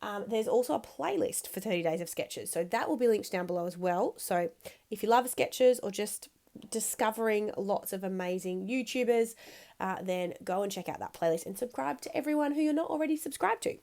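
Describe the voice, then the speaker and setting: Croaky-voiced woman chatting over crafting. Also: medium pitch, expressive, fairly quick, darkish tone. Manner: chatty, rambling, many ums. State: croaky from a cold. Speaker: scrapbooking video host. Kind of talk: casual voice-over while crafting.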